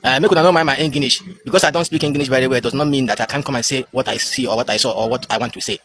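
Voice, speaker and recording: Fast, rambling Nigerian man on his phone. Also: mid-range voice, a bit bright, a little hiss or hum. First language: Igbo. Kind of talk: phone vlog. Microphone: smartphone.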